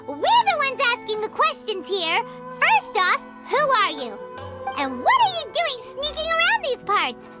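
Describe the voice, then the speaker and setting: A tiny high-pitched girl sidekick. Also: wildly swooping pitch, unhurried, heavily acted. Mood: suspicious. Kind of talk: video game voice acting. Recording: game music behind.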